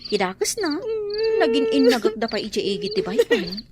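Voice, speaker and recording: A woman's voice: a little high, very animated, fairly quick, crowd noise. A woman with an Ilocano accent playing a meek, concerned young housemaid and loyal friend in a radio drama. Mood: worried, urgent.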